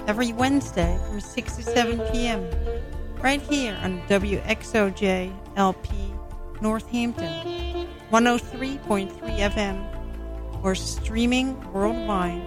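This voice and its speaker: A woman with a mid-pitched voice reads a station promo in an even, measured tone. Sitar music plays clearly underneath her. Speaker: promo announcer. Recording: announcement over sitar music.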